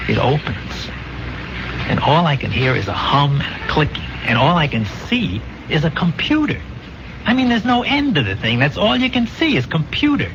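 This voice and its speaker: An actor in an old-time radio drama playing a dead young soldier come back to his war buddy, telling his story haltingly and emphatically, weary and haunted. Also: a little high, vocal fry. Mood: agitated recounting. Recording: muffled, very noisy old radio recording.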